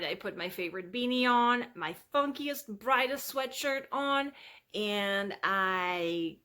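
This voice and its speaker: A woman's voice: medium pitch, bright, forceful tone. Playful, chatty woman hosting a vlog. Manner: playful, self-deprecating humour. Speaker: fitness vlog host. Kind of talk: casual playful chat to viewers.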